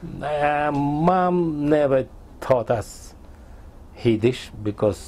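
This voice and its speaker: A man in his sixties from Tajikistan, a Persian speaker, recounting his life in halting, fragmented English in an oral history interview. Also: a little high, lively intonation, darkish tone. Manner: halting non-native English in short fragments.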